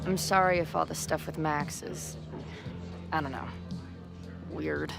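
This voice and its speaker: Game role: laid-back young woman, dry and casual, low-pitched, clear music bed underneath. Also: fairly even intonation, unhurried. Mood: awkward. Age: young adult. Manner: casual, wry. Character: wry, laid-back young woman, punk band manager. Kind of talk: video game voice acting, casual dialogue and inner monologue. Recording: music behind the voice.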